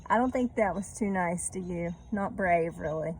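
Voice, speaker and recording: A woman's voice: fairly low pitch, moderate pace, a little mellow, slight background noise, poor audio. A woman reading a children's story aloud, adding chatty asides and little questions to her listeners. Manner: checks in with listeners using tag questions.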